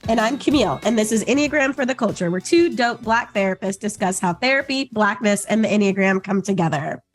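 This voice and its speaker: A woman co-hosting a podcast who is a therapist. She sounds hoarse and asks curious, upbeat follow-up questions. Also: medium pitch, animated, a little fast, faint music behind.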